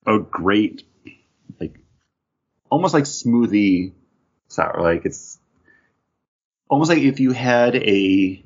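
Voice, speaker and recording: A man chats casually as a podcast host in a fairly low, animated voice. His tone is a little husky, and the recording is slightly dull and lo-fi. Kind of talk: casual panel chat about beer and geek news.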